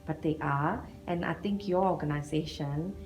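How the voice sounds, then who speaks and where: Chatty female interviewer with a lowish voice and faint music underneath. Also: normal speed, darkish tone, low-quality recording. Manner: encouraging, chatty. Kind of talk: conversational interview.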